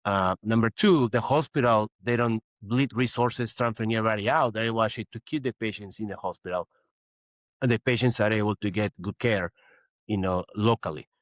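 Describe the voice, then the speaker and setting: A man's voice: on the low side, fairly quick, dry recording. A middle-aged man from Peru, a guest physician in an interview, explaining earnestly and often saying "you know". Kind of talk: interview conversation.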